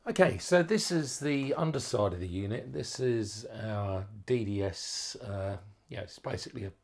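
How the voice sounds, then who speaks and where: A man giving an informal, unscripted hands-on electronics walkthrough as a hobbyist, with hesitant, halting phrasing. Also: mid-range voice, animated. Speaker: amateur radio hobbyist presenting a project.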